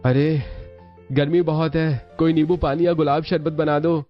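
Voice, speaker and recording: A man's voice: a little high, level tone, measured pace, lo-fi sound, close to an everyday voice. A man playing a demanding man of the household in a cartoon family drama. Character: men of the household asking for refreshment. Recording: band-limited audio with music behind.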